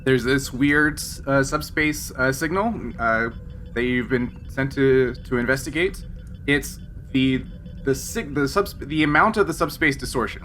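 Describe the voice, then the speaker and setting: Man, bright middle-pitched voice, casual halting game master, some background noise. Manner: halting, explanatory, checking with players. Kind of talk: casual game narration to players.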